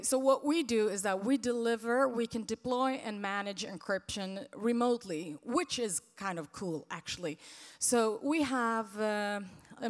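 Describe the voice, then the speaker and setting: Brief: woman panelist, dry humor, echoey hall. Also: medium pitch. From Sweden. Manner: wry, self-deprecating. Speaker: panelist, company president. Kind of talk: startup pitch on a panel.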